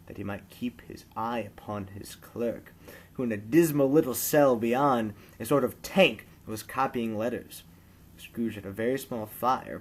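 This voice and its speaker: A man narrating a classic story aloud as an amateur reader, with expressive, theatrical storytelling. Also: mid-range voice.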